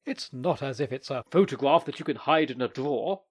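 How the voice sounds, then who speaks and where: A man voicing a husky-voiced male comic actor in a storybook reading, sounding flustered. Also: mid-range voice, fairly quick, lightly acted.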